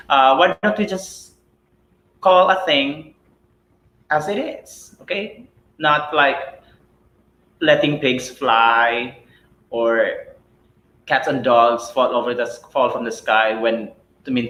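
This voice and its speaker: A man's voice: a little high, fairly bright tone, echoey room, poor audio. Chatty Filipino man teaching English live. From Philippines. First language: Cebuano. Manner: chatty, rhetorical questions with tag "right?" and "okay?". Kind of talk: live-streamed English lesson.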